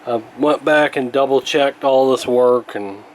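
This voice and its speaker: A man's voice: mid-range voice, unhurried. Man thinking aloud over background noise. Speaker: radio repair hobbyist, host. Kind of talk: casual hands-on repair commentary.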